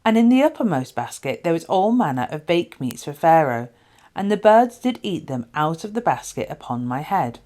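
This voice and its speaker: Woman reading as animated, hopeful royal baker. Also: low-pitched, moderate pace. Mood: hopeful, eager. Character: Pharaoh's chief baker, hopeful male servant telling a dream.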